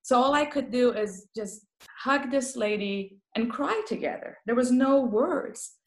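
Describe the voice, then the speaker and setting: A woman's voice: a little high, lively intonation, a little slow, roomy sound, rough recording. A woman from Iran with a Persian accent, speaking as a palliative care guest and telling stories with laughs. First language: Farsi. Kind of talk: casual interview talk.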